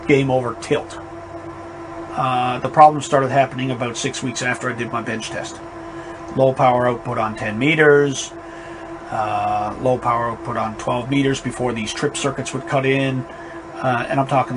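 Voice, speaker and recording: A man with a fairly high, not boomy voice, casually reviewing a radio amplifier, rambling and emphatic. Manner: rambling, emphatic warnings, restarts. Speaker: ham radio operator reviewing gear. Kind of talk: casual spoken equipment review. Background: amplifier fan noise in background.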